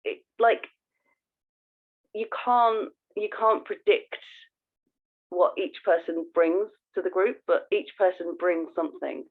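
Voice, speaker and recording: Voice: mid-range voice, slow pace, long pauses, narrow, tinny audio. A podcast co-host chatting casually and effusively, thinking aloud while talking.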